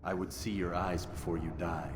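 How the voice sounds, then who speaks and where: An actor playing a proud, stern young assassin in a game cutscene, terse and demanding. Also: male voice, low voice, fairly even intonation, moderate pace, close to an everyday voice. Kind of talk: dramatic video game cutscene acting. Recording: echoey hall with long reverb, noisy ambience.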